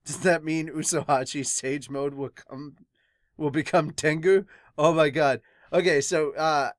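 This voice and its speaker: A man chatting casually as a podcast host, reading comments aloud and reacting in an animated but halting way. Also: mid-range voice, dry recording, studio quality.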